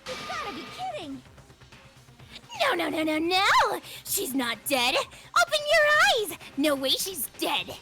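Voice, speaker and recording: Manic killer girl, very shrill, drawn-out, taunting, unhinged, game character. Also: huge pitch swings, over music, exaggerated voice. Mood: manic menace. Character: unhinged, cackling teenage girl serial killer.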